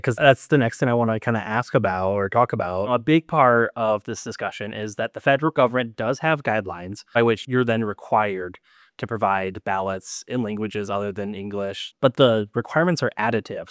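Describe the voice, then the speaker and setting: A man's voice: medium pitch, no echo, studio quality. A man hosting a panel discussion, asking long questions with a wry edge. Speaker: host, moderator.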